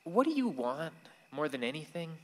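An actor playing a gentle, earnest, watchful grandson in a radio play. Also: male voice, on the high side, sing-song, normal speed. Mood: gentle, searching. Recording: long hall-like reverb.

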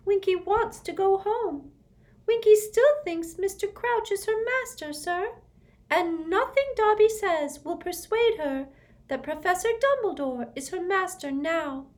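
A woman voicing a timid, loyal male house elf with a squeaky, earnest voice. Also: measured pace, a little mellow.

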